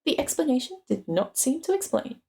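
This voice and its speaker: A woman's voice: sits high, very animated, brisk, creaky, dark tone. A woman streamer narrating a story aloud in a dramatic reading.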